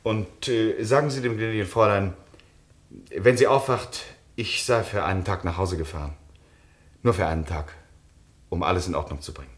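A man's voice: deep voice, moderate pace, natural voice. A man acting a restless, declamatory count. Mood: hesitant, polite. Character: restless aristocratic count breaking with his wife. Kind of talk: German literary radio drama acting.

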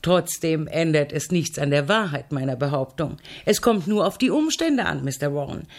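Radio drama: imperious, provocative female novelist, middle-aged, dry wit, very lively intonation. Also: low voice, moderate pace. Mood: provocative, confident. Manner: assertive, dry, teasing. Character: headstrong, sardonic crime novelist aunt, imperious and provocative. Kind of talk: German radio play dialogue.

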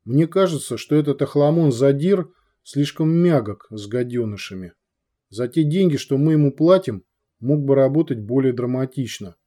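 A man's voice: medium pitch, normal speed, dark tone, lightly acted. A man voicing Mikhar, a greedy, indignant male shas trader with a pompous, grumbling manner.

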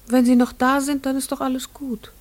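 Woman playing gentle farm wife in a radio drama, earnest, trying to comfort and reassure. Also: sits high, little pitch movement, fairly quick, close to an everyday voice. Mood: soothing. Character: caring farm wife soothing a troubled friend. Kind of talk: German radio play acting.

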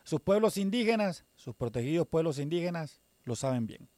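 A man narrating a radio program in a solemn, measured scripted style. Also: a little high, expressive, mellow, no echo.

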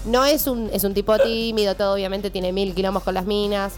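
A woman's voice: mid-range voice, fairly even intonation, brisk, loud music behind the voice. A woman with a Rioplatense accent, chatting and retelling a film plot as columnist on a radio show. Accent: Rioplatense Spanish. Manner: chatty, laughing, storytelling. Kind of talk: casual radio banter.

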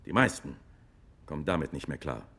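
An actor playing a retired, war-weary army officer who testifies gravely and emphatically in a courtroom radio drama. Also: male voice, a bass voice, sing-song, a little fast, bright, forceful tone, heavily acted. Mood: intense, insistent.

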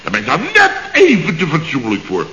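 An actor playing a pompous, boastful building-firm boss in a 1960s radio comedy, rambling and self-satisfied. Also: male voice, fairly high pitch, huge pitch swings, brisk. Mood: boastful blustering. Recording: dull, echoey old radio studio recording.

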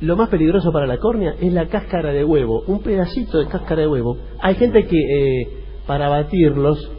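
A man's voice: on the high side, expressive, moderate pace, slightly rough. A man with an Argentine Rioplatense accent co-hosting a radio comedy, explaining and correcting earnestly and a bit hesitantly in improvised dialogue. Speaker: radio comedy show co-host. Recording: dull, noisy radio recording.

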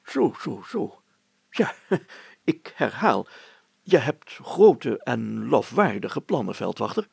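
A man voicing a pompous, excitable mayor in a storybook reading, grandiose and declaiming. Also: medium pitch, slow, gravelly, slightly rough, dry recording, heavily acted. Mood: effusive, self-important. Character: pompous, excitable middle-aged male mayor, theatrical and effusive.

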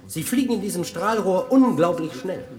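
An actor playing a showy physics guide, lecturing a tour group in a declamatory, explanatory way in a radio play. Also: male voice, sits high, expressive, moderate pace, music in the background.

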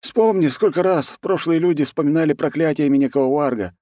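Guy doing a fanatical monk for a fantasy audiobook, high raised voice, agitated and accusing. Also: fast talker, warm tone, dry recording, studio quality, natural voice. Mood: accusing, worried. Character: zealous, indignant monk obsessed with corruption.

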